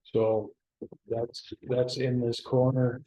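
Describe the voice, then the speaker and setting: A man leading a town board meeting, talking haltingly with many restarts. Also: medium pitch, little pitch movement, warm tone. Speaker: presiding board trustee. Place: town hall meeting room. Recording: dull lo-fi meeting recording.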